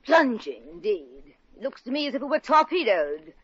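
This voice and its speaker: A woman's voice: on the high side, very animated, normal speed, slightly rough, no room sound. An actress playing a haughty, imperious young English noblewoman with cutting scorn, in a 1950s radio comedy. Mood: indignant, imperious. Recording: muffled vintage radio broadcast.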